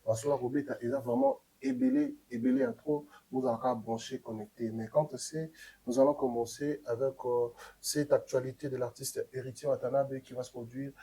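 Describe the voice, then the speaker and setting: A man's voice: mid-range voice, fairly even intonation, fairly quick, warm tone, slightly rough, low-quality recording. A man with a cold hosting a casual panel chat, mixing French and Lingala and laughing often. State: has a cold. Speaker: host.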